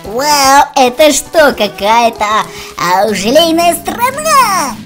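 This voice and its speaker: A woman co-hosting a let's play for small children with animated, childlike exclamations. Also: high voice, slow pace. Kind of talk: children's game commentary. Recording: music behind the voice. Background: music.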